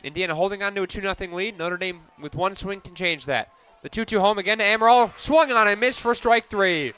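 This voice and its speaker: A man calling softball play-by-play for radio, narrating each pitch and play in crisp descriptive detail. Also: a little fast, soft background music. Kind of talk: live softball radio commentary.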